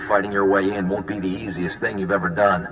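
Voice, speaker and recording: Game mission briefer, played by a man: easygoing soldier, fairly low voice, matter-of-fact, clear music underneath. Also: little pitch movement, brisk, lightly acted. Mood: encouraging, briefing. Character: easygoing, encouraging male military intelligence contact. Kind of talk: video game voice acting. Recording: reverberant, music behind.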